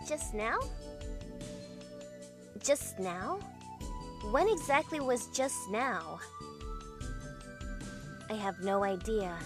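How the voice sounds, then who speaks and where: A woman's voice: mid-range voice, lively intonation, drawn-out words, long pauses, over music. A woman playing a bewildered young heroine, narrating her own story in an amateur visual novel dub. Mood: introspective. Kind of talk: first-person visual novel narration, fandub.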